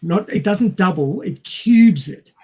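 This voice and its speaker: A man's voice: high-pitched, expressive, slow, warm tone, breathy edge. A man co-hosting a podcast, explaining science informally in a didactic manner. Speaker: podcast co-host.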